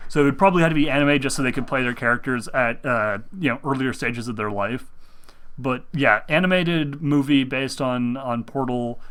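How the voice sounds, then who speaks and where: A young man hosting a casual chat about video games, rambling and excitable, restarting his sentences often. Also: mid-range voice, smooth. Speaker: host.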